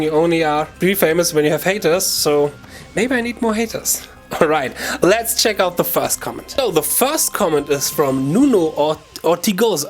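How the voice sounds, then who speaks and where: A man with a German accent hosting a guitar lesson, talking to viewers in an informal, chatty way with halting self-corrections. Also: a little high. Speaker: guitar teacher and video channel host. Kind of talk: casual video lesson talk to viewers.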